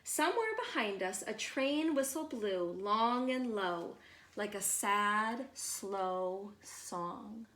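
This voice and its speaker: A woman reading a children's picture book aloud as a storyteller, expressive and measured, with deliberate pauses. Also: medium pitch, echoey room.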